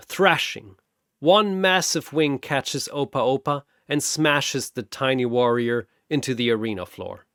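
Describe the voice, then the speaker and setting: Male narrator, slow and dramatic, medium pitch, wry battle storytelling, very dry studio-like sound. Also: no echo. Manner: theatrical, deliberate, wry.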